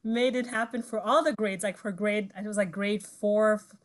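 A woman's voice: mid-range voice, fairly even intonation, normal speed. Chatty young woman, French her first tongue. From Ivory Coast and Zimbabwe. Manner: chatty, laughs often, trails into fillers. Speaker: guest musician. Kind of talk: casual interview storytelling.

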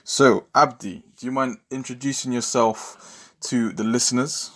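A man's voice: mid-range voice, fairly even intonation, unhurried, a bit bright. A man hosting a podcast casually, rambling informally and repeating phrases as he thinks. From Bristol, England. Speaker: podcast host.

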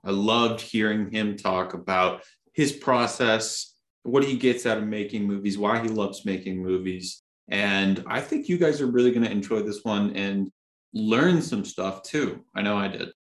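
A man chats casually as a podcast co-host. His voice is slightly low, and the room rings noticeably around it. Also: measured pace. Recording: roomy, echoing room sound.

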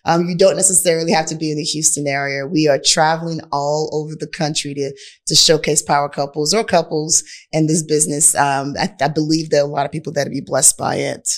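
A woman's voice: sits low, fairly even intonation, brisk. A woman co-hosting a podcast in casual conversation, with a candid, playful and teasing manner. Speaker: podcast co-host. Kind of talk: casual couples chat.

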